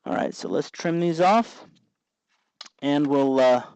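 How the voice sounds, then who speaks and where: The guy at the next workbench in a hobby workshop, patiently talking you through each step in a smooth, slightly high voice, close and dry. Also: takes it slowly, a little mellow, no room sound. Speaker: host of a DIY electronics tutorial. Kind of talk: casual hands-on tutorial, explaining while working.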